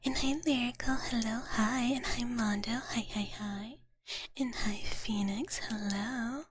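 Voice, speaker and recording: A woman whispering softly and breathily in a gentle, doting big-sister manner, chatting as an ASMR livestreamer close to the microphone and often repeating her words. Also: on the high side, fairly even intonation, measured pace, noisy background. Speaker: ASMR streamer. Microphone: close microphone, dry sound.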